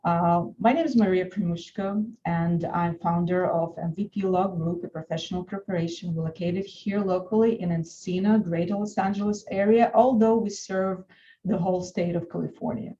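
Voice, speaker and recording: A woman from Russia with a Russian accent, an attorney giving an earnest, deliberate webinar presentation with frequent restarts. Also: fairly low pitch, fairly even intonation, warm tone, highs cut off, slight background noise.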